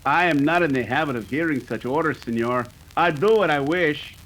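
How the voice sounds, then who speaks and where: Casting: gloating Mexican outlaw boss, Mexican accent, raised pitch, smug and formal, vintage radio western. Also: male voice, lively intonation, a little fast, fairly bright tone, slight background noise, low-quality recording. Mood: cool commanding. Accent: put-on Mexican. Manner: oily, gloating chuckles, formal phrasing. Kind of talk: 1930s western radio acting.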